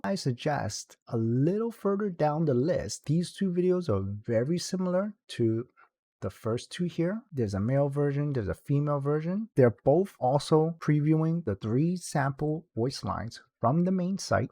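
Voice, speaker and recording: A man with a soft, dark-toned voice hosts a casual how-to video. His pitch moves around a lot, giving the guide a playful, animated feel. He talks informally, as a game streamer would. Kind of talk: casual screen-recorded tutorial narration.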